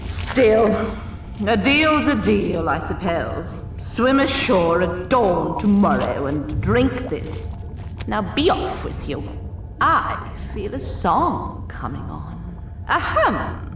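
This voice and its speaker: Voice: medium pitch, big pitch swings, slow, far from the mic. An actor voicing a scheming old female sea witch in a cartoon fairy tale, theatrical and sardonic, with a drawling delivery. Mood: smug. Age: older. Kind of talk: animated fairy tale character acting. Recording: echoey, off-mic, music underneath.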